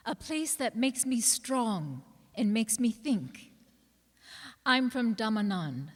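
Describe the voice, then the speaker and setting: A woman giving a dramatic literary reading aloud in measured, deliberate phrases. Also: a little high. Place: large indoor hall at a live reading. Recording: echoey hall with long reverb.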